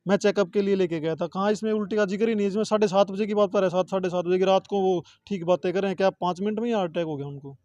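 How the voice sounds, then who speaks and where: High, mellow Haryanvi man being interviewed. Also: steady tone, rapid-fire, hardly any pauses, dry recording, dull audio. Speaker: interviewee, relative of the person discussed. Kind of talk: spontaneous interview answers.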